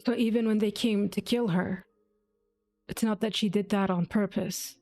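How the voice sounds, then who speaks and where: A woman's voice: mid-range voice, fairly even intonation, moderate pace, mellow, dry recording. A woman giving casual, emotionally invested reaction commentary on a game, thinking aloud and swearing freely. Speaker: game streamer. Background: faint game music.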